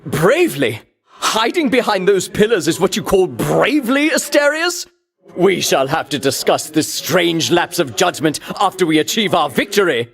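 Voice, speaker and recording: Bombastic, high-pitched Greek champion hero. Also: male voice, sing-song, normal speed, creaky, fairly bright tone, exaggerated voice. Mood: petulant, sarcastic. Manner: bombastic, grandiloquent, archaic diction, haughty. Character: pompous, vainglorious ancient Greek champion hero, easily outraged. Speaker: video game character voice actor. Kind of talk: theatrical video game villain-rival dialogue.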